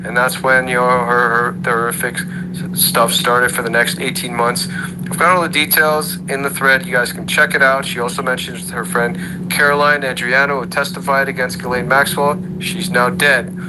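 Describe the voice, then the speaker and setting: A man's voice: mid-range voice, little pitch movement, normal speed, heavy background noise. A man hosting an online audio room, delivering a sarcastic monologue full of rhetorical questions. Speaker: host of an audio chat room. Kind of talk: ranting monologue.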